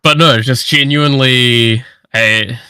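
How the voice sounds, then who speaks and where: A man's voice: medium pitch, steady tone, slow, pushed and bright, clean tone, no echo. A man, chatting casually and slangily on a livestream, swearing freely. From New Zealand. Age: 20s. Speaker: streamer.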